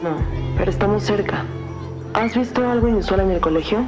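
A woman playing an alert police detective in an audio drama, brisk and collegial with a partner. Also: mid-range voice, over music, lightly acted. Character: alert female detective coordinating with her partner.

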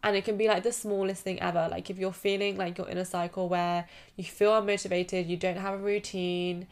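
A woman's voice: medium pitch, little pitch movement, a little fast, darkish tone, smooth. A woman in her twenties with a stuffy nose, hosting a casual solo podcast and giving chatty, encouraging advice full of "like" fillers. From United Kingdom. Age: 20s. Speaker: podcast host.

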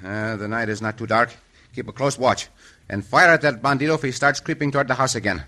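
An actor playing a brave, commanding Mexican adventurer with a Spanish accent in an old radio western. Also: male voice, mid-range voice, quick pace, sharp tone. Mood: calm, resolute. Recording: faintly noisy old radio recording.

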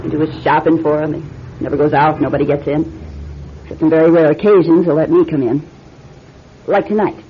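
A woman's voice: low-pitched, steady tone, brisk, long pauses, lightly acted. A woman acting a plain-spoken, gossipy landlady in a radio play. She chats in an offhand, folksy, dismissive way. The recording is muffled, with some noise behind. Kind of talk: old-time radio drama acting. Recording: dull, noisy old radio drama recording.

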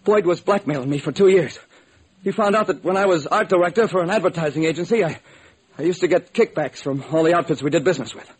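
A man's voice: on the high side, fast talker, breathy edge, rough recording. A man playing an evasive, cornered artist turned killer in an old-time radio crime drama. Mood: bitter, confessing.

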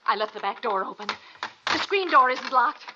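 A woman's voice: fairly high pitch, expressive, moderate pace, close to an everyday voice. An actress playing an anxious young American mother in a mid-century radio drama, fretful and urgent, sometimes stammering. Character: frantic young mother locked in a basement. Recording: dull, noisy old radio recording with clicks.

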